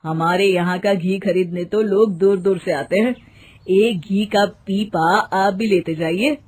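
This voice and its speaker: A woman's voice: medium pitch, a little slow, darkish tone, breathy edge. A woman voicing a naive, gullible young husband who keeps a shop, played expressively in a moral story. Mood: jovial, generous. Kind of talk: Hindi moral storybook character acting.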